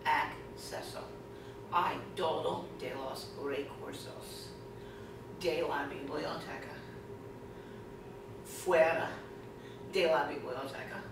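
Slow, careful woman in echoey room. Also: deep voice. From United States. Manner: halting non-native Spanish, slow and careful. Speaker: librarian presenter. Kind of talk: conference presentation. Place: classroom, indoors. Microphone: far camera. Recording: echoey classroom from far off, noisy.

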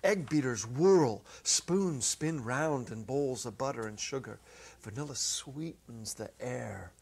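A middle-aged man giving a dramatic literary reading, deliberate and theatrical, drawing out his words. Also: mid-range voice, lively intonation, creaky, darkish tone, slightly rough. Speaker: story reader. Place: indoor set with holiday decor. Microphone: clip-on lapel mic.

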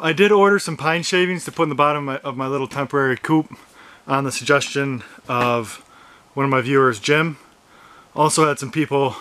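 Man, 30s, bright voice, a bit high, easygoing vlog chatter, camera held at arm's length in a greenhouse. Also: dry recording. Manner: easygoing, thinking aloud. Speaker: homesteading vlogger. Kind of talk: casual vlog talk to camera. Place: outdoors and inside a plastic greenhouse on a snowy farm. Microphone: handheld camera at arm's length.

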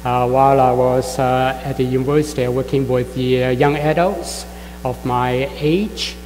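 Man, Chinese accent, Fujian native speaker, middle-aged, guest preacher, halting with many fillers, long church reverb. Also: mid-range voice, steady tone, a little mellow, faint music behind. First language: Fujian (Min Chinese). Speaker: guest pastor. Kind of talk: sermon introduction to a congregation. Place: church sanctuary.

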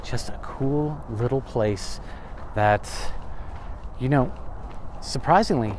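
A man in his thirties talks his way through an outdoor walking tour, his pitch bouncing up and down with every remark. He takes his time, unhurried and slow, tossing in wry asides and questions to himself. There's a faint hiss of open-air noise behind him on a handheld mic. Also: lively intonation, darkish tone, rough recording. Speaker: travel vlogger. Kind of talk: casual walking tour narration. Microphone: camera or phone mic, handheld.

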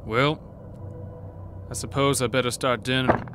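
A man's voice: medium pitch, fairly even intonation, unhurried, over music, natural voice. An actor playing an uneasy, terse everyday man in a horror audio drama.

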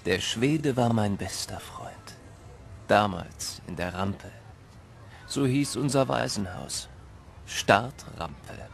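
A grown man narrates his memories of an orphan boyhood in a radio play. He is wistful and measured, speaking slowly with a creaky edge, over faint music. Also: on the low side, expressive, heavily acted. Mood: wistful, reflective. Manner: measured, reflective.

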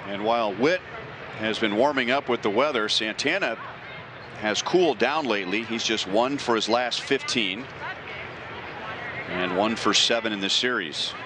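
A man calling a baseball game play by play from the broadcast booth, steady and informative. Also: fairly low pitch, normal speed, noisy background. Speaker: play-by-play announcer.

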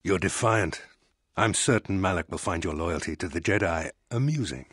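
Deep, husky villainous admiral. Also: male voice, animated, fairly bright tone, no room sound, studio quality, exaggerated voice. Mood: smug, menacing. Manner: formal, deliberate, condescending. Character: menacing, smug older male Sith fleet admiral, cold interrogator. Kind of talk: dramatic video game acting.

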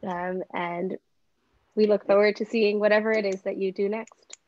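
A woman's voice: mid-range voice, steady tone, a little mellow, dry recording. Woman moderator on a muffled video call. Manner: friendly, slightly halting. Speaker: festival artistic director and moderator. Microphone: laptop webcam video call. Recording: dull lo-fi video call audio.

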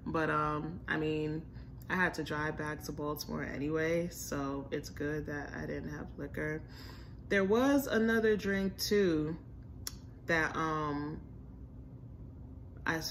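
A woman's voice: sits low, fairly even intonation, takes it slowly, a little hiss or hum. A young woman with an American accent talking casually and informally to camera as a food vlogger. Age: young adult. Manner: informal, chatty, slangy. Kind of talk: casual chat, restaurant review. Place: indoors in a home room with a staircase.

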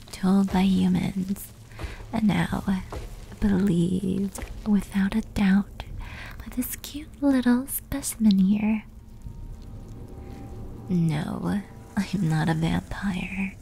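A woman voicing a seductive, menacing female demon who toys with her prey in a teasing, breathy ASMR roleplay whisper. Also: mid-range voice, mellow, a little hiss or hum. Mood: teasing menace.

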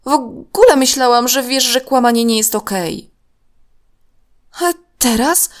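A woman's voice: fairly high pitch, expressive, a little slow, pitched above the performer's own voice. A woman voicing a bossy, upset young girl in a children's story, speaking indignantly and emphatically. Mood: upset, scolding.

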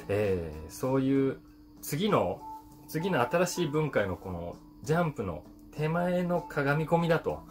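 A man, an actor, musing to camera in a relaxed, unhurried way with many fillers, recorded indoors on a close mic. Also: lowish voice. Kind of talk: casual vlog talk to camera. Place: indoors in front of a brick backdrop.

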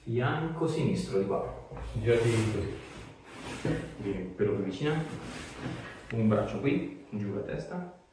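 A man's voice: on the low side, animated, unhurried, pauses often. A man, an osteopath casually giving a patient reassuring, encouraging instructions in a treatment room. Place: small treatment room, indoors. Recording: echoey room, distant mic, faint noise.

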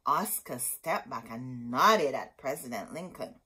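A woman's voice: rumbling low, lively intonation, unhurried, pushed and bright, slightly rough. A middle-aged woman reading a children's picture book aloud in a dramatic, emphatic storytelling manner. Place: indoor room with bookshelves.

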